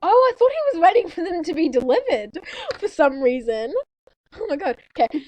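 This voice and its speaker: Giggly, high-pitched woman. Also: big pitch swings, a little fast, a little mellow, no echo, poor audio. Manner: giggly, informal, breaking off to react. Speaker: podcast co-host reading fan fiction aloud. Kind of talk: casual giggly chat and amateur read-aloud with friend.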